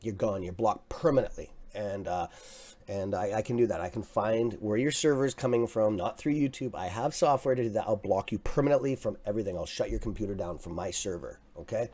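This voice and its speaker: A man with a raspy voice hosting a live stock market stream, talking casually and excitably to his viewers. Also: fairly low pitch, fairly quick. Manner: excitable, swears, talks to chat as "guys". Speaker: livestream stock trading host.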